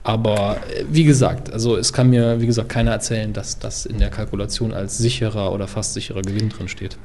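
Fast, gravelly man chatting frankly. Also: fairly low pitch, steady tone, darkish tone, slight background noise. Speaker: co-host commenting on media news. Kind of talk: casual opinionated discussion.

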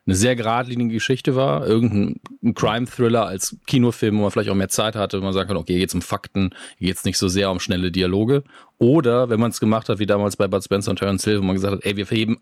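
A man interviewing a guest in casual chat, talking quickly with joking asides. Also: fairly low pitch, fairly even intonation, a little mellow, no room sound, studio quality.

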